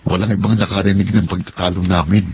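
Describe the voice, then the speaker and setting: An actor playing a frustrated young husband of thirty who complains in an exasperated way. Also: male voice, sits low, flat delivery, fast, a little mellow, slight background noise. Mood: rueful. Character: young husband Nathan, 30, frustrated, wants independence. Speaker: husband in radio drama. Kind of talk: Filipino radio drama acting.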